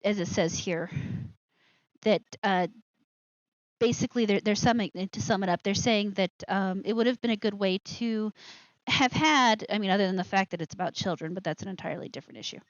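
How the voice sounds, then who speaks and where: A woman's voice: mid-range voice, fairly quick, no room sound, dull audio. A woman hosting a live show with casual commentary, rambling and interrupting herself with asides.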